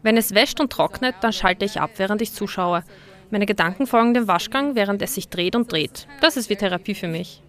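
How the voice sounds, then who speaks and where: A woman's voice: medium pitch, brisk, fairly bright tone, close to an everyday voice. A woman voicing a dubbed interview with a dreamy young laundromat customer, reflective and conversational.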